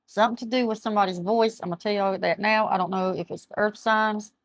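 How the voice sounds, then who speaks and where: A middle-aged woman, chatting through a tarot reading and reading aloud from a card book, her voice going hoarse as she often loses her words. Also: mid-range voice, fairly even intonation, quick pace, slight background noise. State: losing her voice. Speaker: tarot reader. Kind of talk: casual tarot reading to camera. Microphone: camera mic, speaking to camera.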